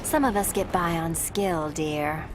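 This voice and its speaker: A woman's voice: fairly low pitch, unhurried, lightly acted. A woman voicing a sly, flirtatious cat burglar, cool and teasing, in a video game. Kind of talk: video game character dialogue. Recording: very noisy game effects behind.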